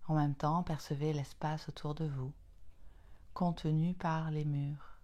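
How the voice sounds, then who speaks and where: A woman leading a guided yoga nidra relaxation in a soothing, unhurried manner, in short phrases separated by long pauses. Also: low-pitched, fairly even intonation, dark tone, lo-fi sound.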